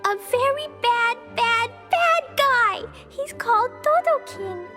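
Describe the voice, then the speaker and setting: A woman voicing a small, cute, earnest and excitable little girl in a video game, with a childlike, sometimes halting way of talking. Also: extremely high pitch, over music, heavily acted. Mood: upset. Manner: childlike, refers to self in third person, halting over hard words. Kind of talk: video game character voice acting.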